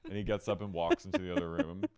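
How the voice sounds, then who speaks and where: A man co-hosting a casual podcast, retelling reality-show scenes and quoting the people in them. His voice is very low but swings widely in pitch, with a smooth, resonant tone. The sound is dry and close. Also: quick pace. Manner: animated, retells scenes with quoted lines. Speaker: podcast co-host. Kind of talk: casual chat recapping reality TV.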